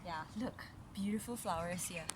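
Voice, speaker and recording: A woman reading a picture book aloud to a young child, with gentle, encouraging, chatty asides to explain the pictures. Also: fairly low pitch, normal speed. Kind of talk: casual read-aloud storytelling with chatty asides. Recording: noisy recording with vehicle-like background hum.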